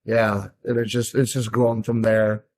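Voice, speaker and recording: A man as a podcast guest, chatting in a relaxed, agreeable way. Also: fairly low pitch, unhurried. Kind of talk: casual chat.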